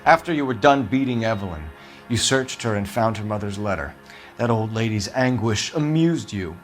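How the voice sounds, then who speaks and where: A man's voice: fairly low pitch, animated, moderate pace, faint music behind, lightly acted. A man voicing a blunt, needling police detective in a crime game, terse and accusatory. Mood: accusing. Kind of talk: video game voice acting, 1940s crime drama.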